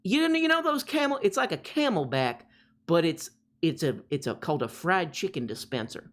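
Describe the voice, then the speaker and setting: Guy doing a goofy southern drawl dude bragging bout his fried chicken, pitched up, over the top. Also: sing-song, moderate pace, a bit bright. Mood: hammy. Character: hammy Southern man who loves fried food. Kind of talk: comic impression on a livestream.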